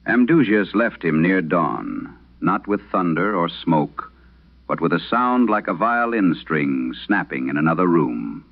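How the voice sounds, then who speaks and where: Guy doing old exorcist priest, super deep n gravelly, grave horror radio story, old radio sound. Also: narrow, tinny audio, exaggerated voice. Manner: grave, measured, dramatic pauses. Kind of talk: solemn first-person horror radio storytelling. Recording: band-limited vintage radio sound.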